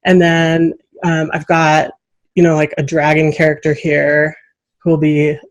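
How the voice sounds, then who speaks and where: Woman podcast host, kinda low voice, chatting casually, super into whatever the guests say. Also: monotone, a little slow. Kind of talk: casual friendly video interview. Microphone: USB desktop microphone.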